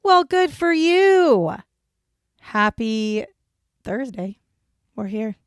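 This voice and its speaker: A woman's voice: a little high, very animated, drawn-out words, no echo, studio quality. A woman in her forties co-hosting a podcast in casual banter, chatty, laughing often and swearing freely, with rambling asides. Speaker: podcast co-host.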